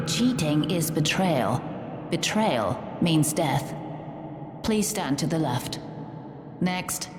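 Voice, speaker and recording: A woman playing a cold, implacable judge in a theatrical drama, stern, curt and deliberate. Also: low voice, lively intonation, a little hiss or hum. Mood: cold, final. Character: cold, implacable female judge passing death sentences. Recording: echoey hall, long reverb, faint music.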